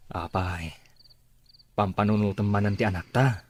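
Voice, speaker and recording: An actor playing an older father in a radio drama, speaking softly with a husky voice. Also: lowish voice, unhurried. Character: older father worried about his child. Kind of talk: Ilocano radio drama acting.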